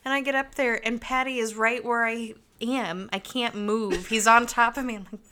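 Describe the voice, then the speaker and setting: Bright, animated woman telling stories. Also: on the high side, normal speed, a little hiss or hum. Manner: animated storyteller, acts out what she says, laughs a lot. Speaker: podcast co-host. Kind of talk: casual chat.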